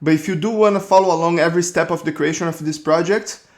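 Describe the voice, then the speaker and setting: A man's voice: fairly high pitch, fast. A man in his thirties from Brazil with a Brazilian accent, casually telling a rambling personal story as host of his own channel. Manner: relaxed, rambling storytelling with restarts. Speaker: creature designer, channel host.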